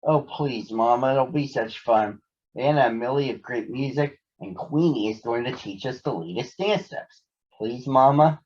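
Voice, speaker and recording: A man's voice: mid-range voice, moderate pace, a little mellow, natural voice. A man voicing an eager little girl bear cub in a storybook, earnest and insistent. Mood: pleading. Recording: dull lo-fi sound.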